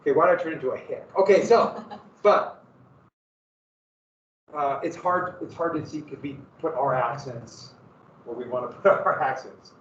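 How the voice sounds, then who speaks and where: A man's voice: on the high side, normal speed, long pauses, a little hiss or hum. A man with a Montana accent giving a casual, rambling classroom history lecture as a teacher. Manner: casual, rambling, self-deprecating. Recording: echoey classroom from far off, dull.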